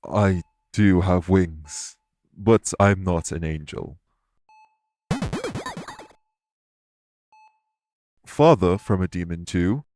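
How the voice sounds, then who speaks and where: A man voicing a calm, courteous winged elf in an audio roleplay, speaking formally and patiently to reassure the listener. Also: deep voice, takes it slowly.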